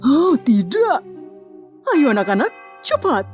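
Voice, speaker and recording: A woman's voice: high voice, sing-song, slow, darkish tone, pitched above the performer's own voice, heavily acted. A woman doing a bossy scheming stepmother. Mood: alarmed. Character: scheming stepmother, middle-aged woman, commanding. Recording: long reverb with music behind.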